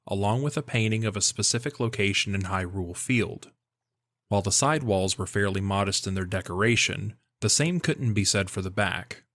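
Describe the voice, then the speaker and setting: A man's voice: fairly low pitch, fairly bright tone, dry recording. A man reading scripted story narration for an audio drama, in the manner of an audiobook. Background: background music.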